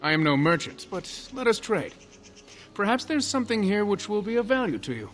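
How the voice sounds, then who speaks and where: Stern, high-voiced elven craftsman. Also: very animated, a bit bright. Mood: reserved, obliging. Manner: formal, scolding, measured speech. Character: stern elven master craftsman, proud and fussy. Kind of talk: video game character dialogue.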